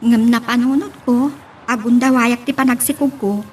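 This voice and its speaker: High-voiced young expectant mother, played by an actress. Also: steady tone, moderate pace, a little hiss or hum, lightly acted. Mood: earnest. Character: pregnant young working woman, affectionate but anxious. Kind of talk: Ilocano audio drama acting.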